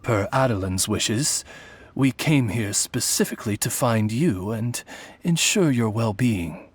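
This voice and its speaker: A man playing a calm, courteous adventurer in a video game, with a husky voice and formal phrasing. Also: mid-range voice, unhurried. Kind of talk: anime video game voice acting.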